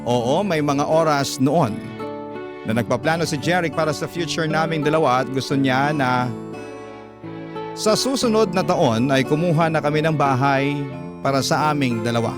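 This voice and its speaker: A man's voice: fairly high pitch, normal speed, lightly acted. A man with a Filipino accent reading a troubled mature woman's love confession as earnest radio-drama narration. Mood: anxious, confiding. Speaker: radio storyteller. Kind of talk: first-person letter narration, classic radio drama. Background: guitar music.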